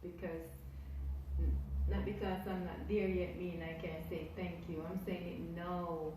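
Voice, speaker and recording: A woman's voice: lowish voice, flat delivery, measured pace, very echoey, distant mic, background noise. A Jamaican woman vlogging casually to her camera, effusive and repetitive as she piles up her thanks. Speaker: YouTuber. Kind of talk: casual vlog talk to camera.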